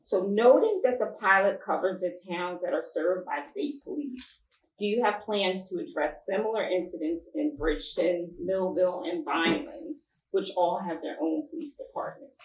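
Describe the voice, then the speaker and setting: A woman's voice: on the low side, expressive, normal speed. A woman moderating a public panel, asking questions and offering formal thanks. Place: church interior. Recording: echoey room, distant mic, dull sound.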